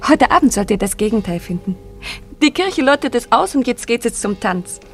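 An actress playing a young, warm-hearted village girl with a secret, earnest and often hushed, in a German radio drama. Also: a little high, big pitch swings, brisk, faint music behind. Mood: eager, bright.

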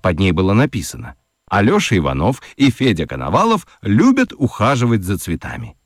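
A man narrating a children's radio play as an expressive, scripted storyteller. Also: lowish voice, brisk, no echo, clean studio sound.

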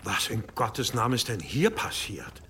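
Agitated abbot, swinging pitch. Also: male voice, sing-song, normal speed, noisy background. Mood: alarmed, angry. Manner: agitated, curt muttering. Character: stern, alarmed monastery abbot. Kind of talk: German audio drama acting.

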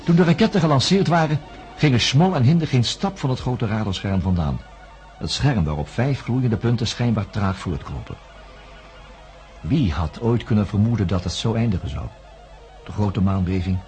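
A man voicing a calm narrator in a radio play, looking back on events with a low, steady voice. He speaks in a measured, reflective way, and music plays clearly behind him. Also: natural voice. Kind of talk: radio drama first-person narration.

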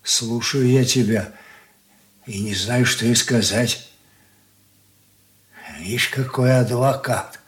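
An older actor playing a stern, bewildered old father in a radio drama, with a weighty, halting manner. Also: medium pitch, fairly even intonation, natural voice. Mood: bewildered, sad. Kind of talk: Soviet radio play acting.

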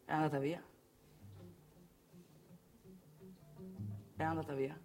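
A woman's voice: low-pitched, level tone, rapid-fire, vocal fry, music in the background. A woman playing a crude, boastful male travelling salesman, in a confrontational, colloquial theatrical monologue. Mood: cynical, bitter. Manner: colloquial, confrontational, addressing the audience with rhetorical questions.

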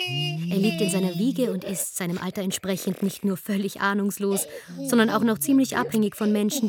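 A woman's voice: medium pitch, natural voice. An actress playing a chatty, wry female storyteller who narrates ancient history in a colloquial, digressive way for a comic radio play. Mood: wry, explanatory.

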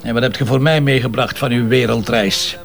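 An actor playing a grumpy, sarcastic, cheeky husband in a Flemish radio drama, with a sardonic, bantering delivery. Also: male voice, medium pitch, fairly even intonation, brisk, vocal fry, soft background music, natural voice. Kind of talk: naturalistic radio drama dialogue.

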